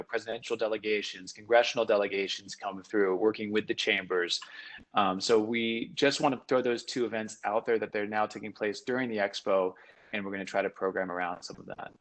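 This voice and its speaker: Casting: man, low pitch, pavilion official, businesslike panel remarks over a video call. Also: fairly even intonation, a little fast, fairly bright tone, rough recording. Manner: businesslike, many fillers.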